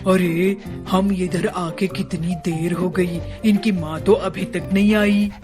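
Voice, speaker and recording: A woman's voice: on the low side, fairly even intonation, slow. A woman voicing a sensible, know-it-all bird friend in a children's cartoon, in an instructive manner. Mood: concerned, agreeable. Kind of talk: children's cartoon story acting. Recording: clear music behind the voice.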